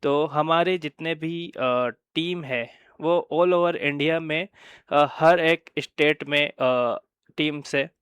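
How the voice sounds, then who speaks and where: Clear-voiced Indian man presenting, slightly high pitch. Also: slow, fairly bright tone, dry recording, lo-fi sound. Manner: hesitant, mixes in English phrases, checks in with tag words. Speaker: company presenter of wireless communication products. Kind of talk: informal explanatory product presentation.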